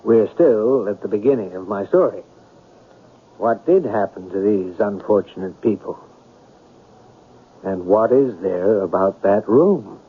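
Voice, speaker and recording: An actor playing a brooding storyteller in a 1970s radio drama, speaking in a deliberate, ruminative way with dramatic pauses. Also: male voice, fairly low pitch, lively intonation, a little hiss or hum. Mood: brooding, reflective. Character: brooding male storyteller haunted by a mystery. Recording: muffled vintage radio broadcast with music.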